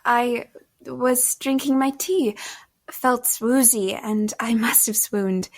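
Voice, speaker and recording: An actress playing a wary, proper young woman in a period audio drama, with refined, measured, old-fashioned speech. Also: a little high, fairly even intonation. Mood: feigned composure. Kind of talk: first-person period audio drama narration and dialogue.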